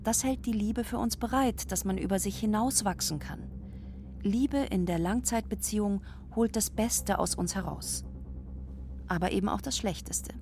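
Mid-pitched woman explaining, faint background noise. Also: normal speed, a little mellow. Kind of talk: explanatory talk.

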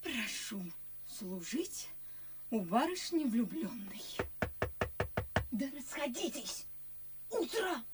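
An actress playing a saucy, lively young maidservant in a verse comedy radio play, with a theatrical, teasing delivery. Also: female voice, on the high side, takes it slowly, pauses often, very noisy. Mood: anxious, calling.

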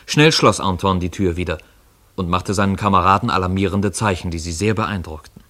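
A man with a low voice narrates a radio play. His delivery is scripted literary storytelling. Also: fairly quick, fairly bright tone.

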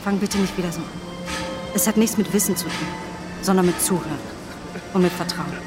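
A woman's voice: lowish voice, brisk, close to an everyday voice. An actress playing a hurt but firm young woman confronting her lover in a naturalistic German radio drama. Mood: guarded firmness. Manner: reproachful, resolute. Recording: radio drama with music underneath.

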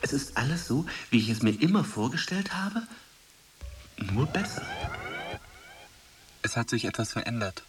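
A dreamy, puzzled man searches and muses in a poetic radio play, played by an actor. His medium-pitched voice moves in a lively way, over faint background noise. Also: normal speed, long pauses, close to an everyday voice. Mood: blissful. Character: Steve, dreamy searching boyfriend, distant and puzzled. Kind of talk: poetic radio drama dialogue.

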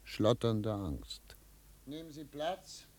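An actor in a 1950s radio drama playing a stern, insistent interrogator with a commanding, emphatic manner. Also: male voice, medium pitch, lively intonation, takes it slowly. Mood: dry narrating. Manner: sharp commands, pressing questions, emphatic swings.

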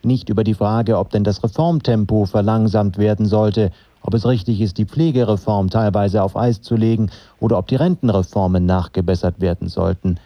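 Dark, mellow male radio correspondent. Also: on the low side, steady tone, normal speed, no room sound. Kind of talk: scripted political news report.

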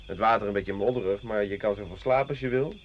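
An actor playing a rough, talkative soldier turned drifter, world-weary and colloquial, in a Dutch radio play. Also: male voice, fairly low pitch, fast, lightly acted. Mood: resigned. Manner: colloquial, hesitant, chatty storytelling. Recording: dull, noisy old radio recording.

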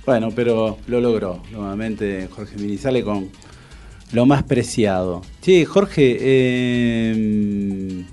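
A man's voice: fairly low pitch, slow pace, dark tone, faint music behind. A man with an Argentine accent hosting a casual, conversational radio interview.

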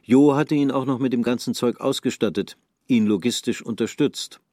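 A man narrating a literary radio drama in a sober, measured manner. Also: mid-range voice, warm tone, no room sound, studio quality.

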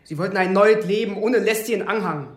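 Brusque policeman, slightly high male voice actor. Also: fairly quick, roomy sound, close to an everyday voice. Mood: accusing, sardonic. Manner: terse, commanding, sardonic. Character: brusque, accusing small-town policeman. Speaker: police officer. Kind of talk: radio crime drama acting.

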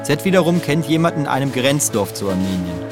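A man's voice: medium pitch, very animated, quick pace. Male explainer with music behind him. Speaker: explanatory narrator. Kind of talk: scripted explanatory narration.

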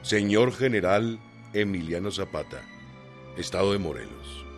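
An actor playing a resolute revolutionary general, solemnly reading out a formal letter. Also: male voice, deep voice, lively intonation, measured pace, faint music behind. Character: resolute male revolutionary general dictating a cordial war letter. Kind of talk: radio drama, reading a letter aloud.

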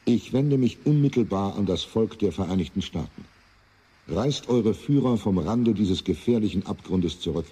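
A man's voice: fairly low pitch, lively intonation, moderate pace, warm tone, breathy edge. A man playing an elderly, solemn Soviet leader making a declamatory, imploring appeal in a radio play. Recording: echoing long hall reverb.

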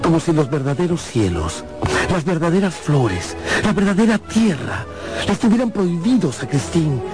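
A man's voice: a little high, big pitch swings, moderate pace. A man narrating a radio drama from a script in a theatrical storytelling manner. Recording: loud music behind the narration. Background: loud music.

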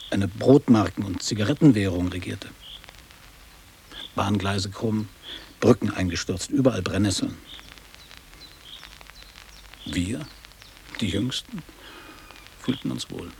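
A middle-aged man playing a nostalgic former boarding-school pupil in a radio drama, speaking in a reflective, deliberate, literary way. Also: lowish voice, gravelly, darkish tone, slight background noise. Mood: wistful, reminiscing.

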